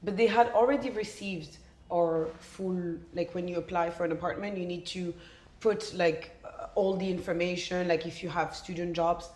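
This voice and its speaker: Frank woman telling a story, lower voice. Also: normal speed. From Belgium. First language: French. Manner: frank, animated storyteller, many fillers. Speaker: podcast guest. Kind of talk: casual conversation. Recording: roomy echo.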